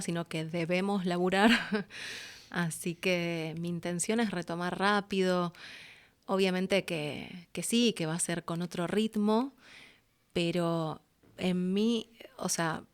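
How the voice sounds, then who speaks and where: A woman with an Argentine accent, talking candidly and casually as an interview guest, thinking aloud as she goes. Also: lowish voice. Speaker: interview guest, voice actress and producer.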